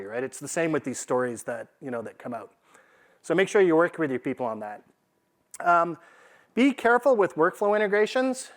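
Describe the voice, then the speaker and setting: Guy doing an informal business talk, voice a bit on the high side, punchy, keeps asking the room questions. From Canada. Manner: conversational, emphatic, checks in with rhetorical questions. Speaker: conference speaker, AI consultant. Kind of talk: informal business presentation to a live audience.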